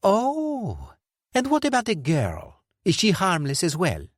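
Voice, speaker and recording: Man doing a sneering crime boss, high voice, slow deliberate questions, mocking, dry close sound. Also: wildly swooping pitch, no echo, full character voice. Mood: shouting, scornful. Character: sneering, menacing male crime boss, cold and sarcastic.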